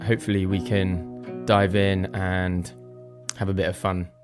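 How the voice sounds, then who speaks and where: Young male podcast host, low voice, a bit croaky, casual and self-mocking, faint guitar underneath. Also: flat delivery, measured pace. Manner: chatty and self-deprecating, thinks aloud. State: slightly croaky voice. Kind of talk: casual solo podcast chat.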